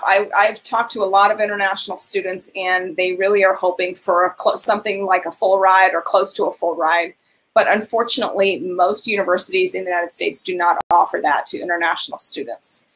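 A woman's voice: medium pitch, fairly bright tone, rough recording. A woman in her thirties on a video call, giving earnest, direct advice as a financial aid advisor in a webinar.

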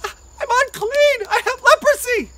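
Woman putting on an earnest sick man, high and loud, begging, storytelling voice. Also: measured pace, slight background noise, heavily acted. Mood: pleading. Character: pleading sick grown man, longing and earnest.

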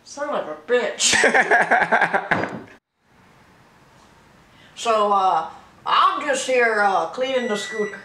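A woman in her thirties hosting a comedic home cooking show, rambling in a deadpan way and laughing often, filmed in a small kitchen on a camera mic. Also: on the high side, extremely slow, long pauses. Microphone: camera mic from across the room. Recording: roomy, distant, noisy home recording.

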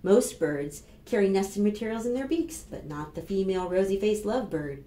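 A woman in her fifties with an American accent, reading aloud to preschoolers as a children's librarian in an expressive, instructive manner. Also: medium pitch, fairly quick. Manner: expressive, instructive, addressing young children with questions. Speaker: children's librarian and storytime host. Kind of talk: read-aloud and rhymes for preschoolers. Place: small plain indoor room. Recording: roomy echo with clear background noise.

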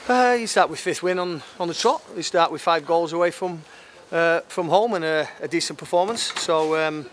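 A man in his fifties giving an unscripted post-match football interview, matter-of-fact and halting, repeating phrases as he goes. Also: a little high. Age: 50s. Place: outdoors at a football ground at night. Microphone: handheld interview microphone. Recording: clear outdoor background noise.

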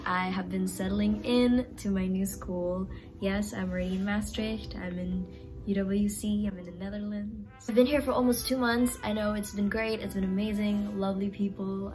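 A young woman from Indonesia talks in a medium-pitched voice, informal and bubbly. She chats like a vlogger showing friends around, with light music in the recording. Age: young adult. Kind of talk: casual room-tour vlog chat. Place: small furnished dorm rooms and bathroom.

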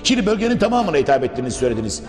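A man's voice: on the high side, very animated, very fast, a little mellow. A man hosting a formal promotional TV interview, speaking in long, polite, wordy sentences. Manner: wordy, formal, addresses viewers and guest politely. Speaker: interviewer, TV host. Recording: echoey reverberant room, music behind. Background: background music.

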